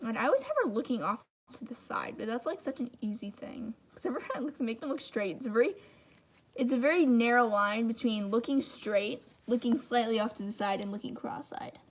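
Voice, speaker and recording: A woman's voice: a little high, expressive, brisk. A young woman chatting casually on a live stream as she draws at a table, rambling with lots of "like" fillers. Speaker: live stream host drawing on camera. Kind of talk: casual chat while drawing. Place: indoors at a table.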